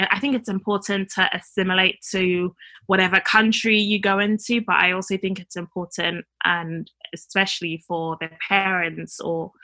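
A woman talking easily in a casual chat as a guest, laughing often. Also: mid-range voice. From Nigeria (family), raised in the UK. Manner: warm, laughs often, easygoing.

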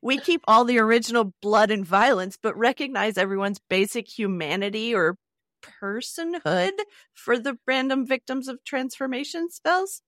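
A woman's voice: fairly high pitch, normal speed, fairly bright tone, dry recording, studio quality. A woman co-hosting a podcast with casual, playful banter. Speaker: podcast co-host.

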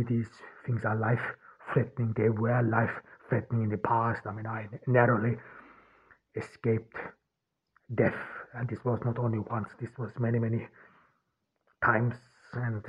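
A man whose first language is German speaks in a flat, husky voice, stopping and restarting his sentences over and over. His level tone barely rises or falls as he searches for words. The sound is thin and tinny, like a phone line. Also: medium pitch. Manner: halting, many restarts and repeated words. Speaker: podcast host. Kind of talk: solo podcast monologue.

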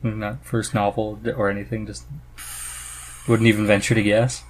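A man hosting a podcast, chatting casually and haltingly as he thinks aloud. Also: lowish voice, flat delivery, rough recording. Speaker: podcast host. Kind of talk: casual conversation about reading.

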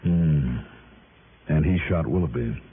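An actor playing a cool, inquisitive American insurance investigator in an old radio detective drama, terse and probing. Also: male voice, extremely low pitch, slow, slight background noise. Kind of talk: 1950s-60s radio detective acting and narration. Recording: tinny vintage radio broadcast with music.

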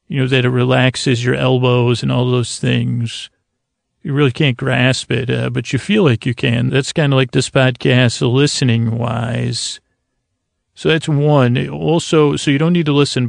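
A man with a creaky voice hosting a bedtime podcast in a languid, meandering, soothing ramble, often stumbling over his words. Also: medium pitch, no room sound, clean studio sound. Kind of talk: rambling, soothing sleep-aid monologue.

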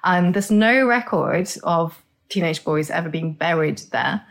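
A middle-aged woman from Norway, speaking as an expert guest in a conversational interview and explaining with lively enthusiasm. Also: fairly low pitch, a little slow, gravelly. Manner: enthusiastic, explanatory, repeats words.

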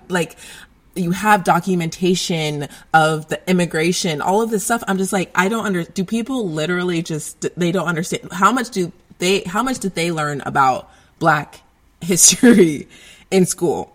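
A young American woman in casual conversation, talking in an animated, slangy way and laughing often. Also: on the low side. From United States.

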